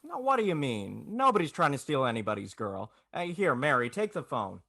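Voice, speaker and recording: A man's voice: fairly high pitch, big pitch swings, a little fast, vocal fry, bright, forceful tone, dry recording, clean studio sound, heavily acted. A man playing a stubborn, tender-hearted young small-town dreamer in 1940s radio drama style, with a hesitant, stammering delivery. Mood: flustered. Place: indoors, recorded over a video call.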